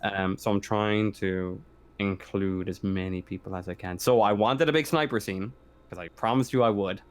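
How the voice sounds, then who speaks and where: A man's voice: lowish voice, moderate pace, clean tone. A man running a tabletop roleplaying game, chatting casually with his players in a rambling, hesitant, sweary way.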